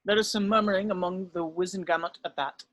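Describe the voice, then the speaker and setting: A man's voice: high-pitched, fairly even intonation, fast talker, fairly bright tone, dry recording. A man reading stage directions as narrator in a screenplay table read.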